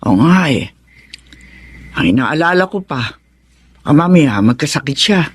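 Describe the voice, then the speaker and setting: A worried mother in a radio drama. She is middle-aged, gentle and devout. Her voice moves up and down a great deal, soothing yet tinged with sorrow. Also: sits low, lively intonation, a little slow, a little hiss or hum. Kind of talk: Tagalog radio drama acting.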